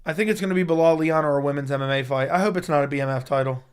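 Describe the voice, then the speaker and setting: Hoarse, excitable man on a livestream. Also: a little high, rapid-fire. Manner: excitable, profane, reacting to news live. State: losing his voice. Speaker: MMA YouTube host. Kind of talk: casual livestream chat.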